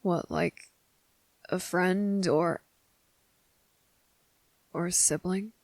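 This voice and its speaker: A woman playing a wary, blunt but softening young boy in an ASMR roleplay, speaking deliberately with hesitant pauses. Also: on the low side, fairly even intonation, vocal fry, no echo, heavily acted. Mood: softening, gentle. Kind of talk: ASMR roleplay audio drama.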